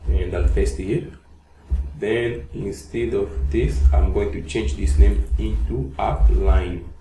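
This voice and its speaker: Low, mellow man teaching code. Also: fairly even intonation, slow. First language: Portuguese. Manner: narrates each step, frequent "okay?" check-ins and "uh" fillers. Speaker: coding tutor. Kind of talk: screen-recorded coding tutorial. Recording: echoey room with faint hiss.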